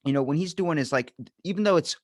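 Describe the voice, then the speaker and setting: A man's voice: on the high side, fast talker, dry recording, studio quality. A man hosting a podcast in casual unscripted chat, talking in a rambling, emphatic way and swearing freely. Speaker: podcast host.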